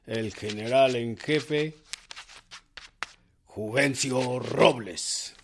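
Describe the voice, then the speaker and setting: An actor playing a self-satisfied federal general, dictating a stiff, formal dispatch in a radio drama. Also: male voice, mid-range voice, very slow. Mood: formal, triumphant. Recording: faint noise and clicks.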